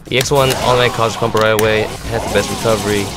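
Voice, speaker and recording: A man's voice: on the low side, fairly even intonation, racing. A man giving step-by-step tutorial commentary on a fighting game, explaining as a gamer presenting a demo. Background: music.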